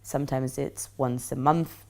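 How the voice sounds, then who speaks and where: A young woman from Argentina with a very low voice that has a gravelly edge. She answers questions earnestly and flatly, stressing her points by repeating words. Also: a little slow. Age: 20s. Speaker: interviewee.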